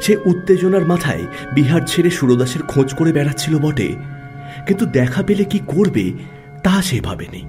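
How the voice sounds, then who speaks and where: A man narrating a classic literary audio story in a dramatic, scripted style with measured phrasing and pauses. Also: on the high side, sing-song, over music.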